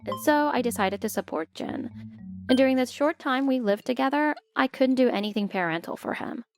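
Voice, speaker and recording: A woman voicing a polite, put-upon stepmother who narrates her own story in an expressive manga dub. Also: high-pitched, a little fast. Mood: narrating, troubled. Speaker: narrator and lead character. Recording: music bed behind the voice.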